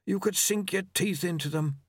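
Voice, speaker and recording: A man's voice: sits high, moderate pace, slightly rough, no echo, clean studio sound, voice pushed up. A man voicing Famine, an arrogant, languid horseman of the apocalypse, with an airy, fussy, superior manner in an audiobook. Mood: disdainful, airy.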